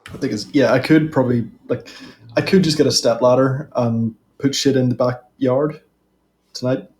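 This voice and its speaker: A man's voice: medium pitch, steady tone, moderate pace, a little mellow. A man chatting and joking with friends on a gaming voice call, swearing casually. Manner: joking, swearing, laughs often.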